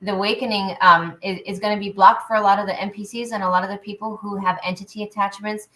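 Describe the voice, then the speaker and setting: Lively spiritual healer woman guest. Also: medium pitch, fast talker, rough recording. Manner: energetic, many fillers and restarts. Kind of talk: interview answers, spiritual talk.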